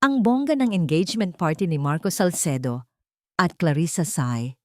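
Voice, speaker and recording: A woman's voice: lowish voice, lively intonation, moderate pace, dry recording, studio quality, lightly acted. A woman voicing a gushing, gossipy young female office worker who mixes English into catty chatter.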